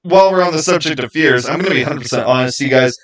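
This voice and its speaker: A man's voice: fairly high pitch, racing. Casual, rambling man livestreamer. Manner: rambling, repeats words for emphasis. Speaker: YouTube creator and livestream host. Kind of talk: casual livestream chat to viewers. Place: small home studio, indoors.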